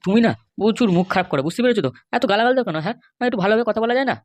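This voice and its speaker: A man's voice: sits high, very fast, dry recording, close to an everyday voice. A man voicing a henpecked, pleading middle-aged cartoon husband with a West Bengal accent. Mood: aggrieved. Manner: wheedling, grumbling. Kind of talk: comic cartoon dialogue.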